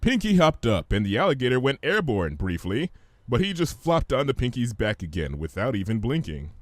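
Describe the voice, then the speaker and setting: Male story narrator, mid-pitched, highly expressive intonation, dry studio-like sound. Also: moderate pace, no echo. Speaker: fan fiction reader, narrator.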